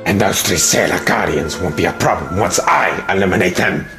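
A man's voice: low-pitched, normal speed, echoey room. A man playing an arrogant, scheming villain in an anime fan dub, with formal, contemptuous delivery. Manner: formal, haughty. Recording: clear music behind the voice.

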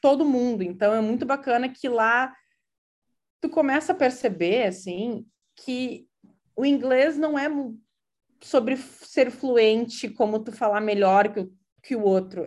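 A woman's voice: on the high side, a little mellow. A woman from Brazil with a gaúcho accent, talking casually and hesitantly, full of fillers and restarts. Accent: Gaúcho Brazilian Portuguese. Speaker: interviewee. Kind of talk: casual conversational account.

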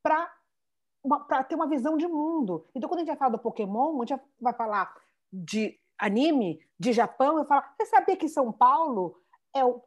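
A Brazilian-born woman in her thirties, telling stories with lots of restarts in a relaxed interview. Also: high-pitched. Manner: animated storyteller, many restarts and "né". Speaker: founder of a Portuguese school for children. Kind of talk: conversational interview.

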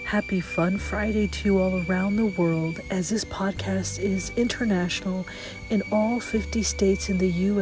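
A woman's voice: medium pitch, low-quality recording. A woman hosting her morning podcast, sniffly from a cold, chatting cheerfully and laughing often. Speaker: podcast host. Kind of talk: casual morning chat.

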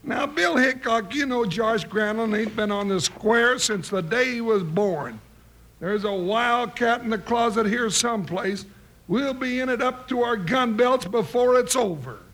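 Folksy western sidekick, very high-pitched, creaky, grumbling, drawling, old radio drama. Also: male voice, a little slow, a bit bright, heavily acted, low-quality recording. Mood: wary grumbling. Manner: drawling cowboy dialect.

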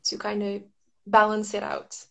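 Woman, from Morocco, middle pitch, casual conversation, reflective, halting, searching for words. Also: completely flat delivery, vocal fry, sharp tone. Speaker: astrology practitioner.

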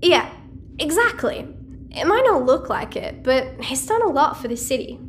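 A woman's voice: high-pitched, sing-song, moderate pace, slight background noise. An actress playing a chatty, cheeky, nosy teenage girl in an audio sci-fi drama. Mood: friendly, teasing. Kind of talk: audio-fiction drama dialogue.

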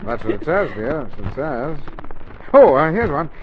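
Flustered radio-sitcom dad, very animated. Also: male voice, a little high, normal speed. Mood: dryly joking. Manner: hemming and hawing, folksy. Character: flustered, joking middle-aged husband and family dad. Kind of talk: 1940s radio comedy acting. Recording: muffled, noisy 1940s radio broadcast.

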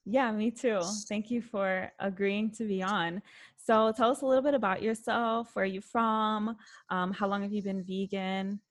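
Woman host, casual interview, friendly and chatty, fills pauses with "um" and "like". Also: mid-range voice, steady tone. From Puerto Rican family background. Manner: friendly, chatty, with frequent fillers.

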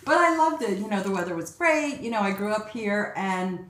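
A woman's voice: mid-range voice, fairly quick, crowd noise. An older woman from Egypt, a casting director, telling stories as a guest in a casual interview with lots of laughter. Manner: chatty, laughing, animated quoting. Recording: roomy echoey sound.

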